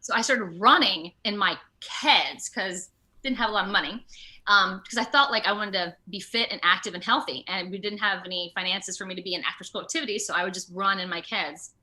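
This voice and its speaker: An American woman talking casually in an interview over a video call, with an animated, rambling, self-deprecating manner. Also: medium pitch, a little fast. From Ohio, United States. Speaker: actor being interviewed.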